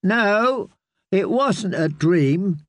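A man's voice: sits high, lively intonation, no room sound, studio quality, voice pushed up, exaggerated voice. A man doing a whiny sickly little boy lord. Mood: whining. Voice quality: whiny, drawn-out complaining.

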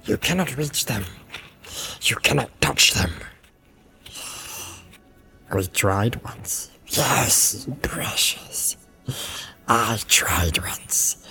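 A man's voice: fairly low pitch, bright, forceful tone, exaggerated voice. A man voicing a wretched, creepy creature in an audiobook, hissing and muttering in broken, repetitive phrases. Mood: furtive, wistful. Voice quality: hissing, creaky whisper. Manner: broken, repetitive muttering, refers to himself in third person. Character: wretched, creepy, cackling male marsh creature guide. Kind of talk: dramatic audiobook character reading.

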